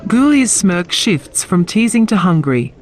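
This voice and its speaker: A woman's voice: medium pitch, very animated, unhurried. A woman reading scripted story narration for an audio drama. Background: music.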